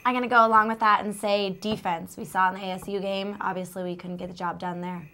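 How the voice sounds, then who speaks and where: A woman's voice: medium pitch, fairly even intonation, fast talker, bright, forceful tone, slight background noise. A young woman who is losing her voice, chatting casually as a sports show analyst. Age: young adult. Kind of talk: casual panel chat.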